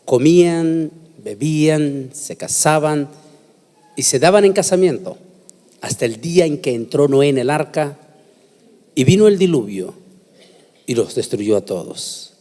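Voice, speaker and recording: A man preaching in a solemn, emphatic sermon-lecture style with deliberate phrasing, recorded in an echoey hall. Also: on the high side, lively intonation, warm tone. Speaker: preacher giving a conference talk. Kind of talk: sermon lecture with scripture reading and prayer. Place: large hall, speaking to a congregation. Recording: echoey hall with long reverb.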